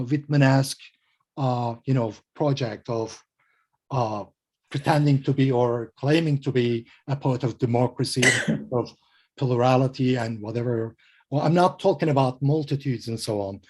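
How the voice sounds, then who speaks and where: Turkish-accented male translator on a panel; mellow, halting, modest delivery. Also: medium pitch. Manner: hesitant, many fillers and restarts, self-deprecating. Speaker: translator and poet guest. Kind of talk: conversational panel interview.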